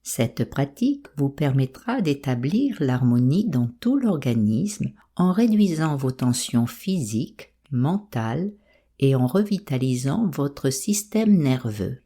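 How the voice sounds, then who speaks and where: A woman with a soft, breathy voice calmly guiding a yoga nidra meditation in an unhurried, soothing way. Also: extremely low pitch. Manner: calm, soothing, unhurried instruction. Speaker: meditation guide.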